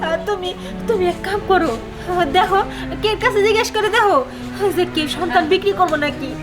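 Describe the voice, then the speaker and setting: A woman's voice: extremely high pitch, brisk. A woman playing a grieving, pleading childless village wife, crying as she speaks. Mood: anguished, pleading. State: tearful, crying. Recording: loud music over the voice.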